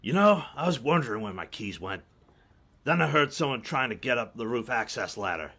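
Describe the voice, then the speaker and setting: A man playing a stern, exasperated janitor in an audio roleplay, scolding and demanding with a rough-edged voice. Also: mid-range voice, sing-song, fairly quick, pushed and bright, exaggerated voice. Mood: suspicious, annoyed. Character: stern, exasperated male building employee guarding his keys.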